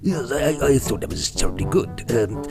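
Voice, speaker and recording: Female presenter, casual announcing, lively intonation, clear music behind. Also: extremely low pitch, moderate pace. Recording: loud music under the voice. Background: loud music.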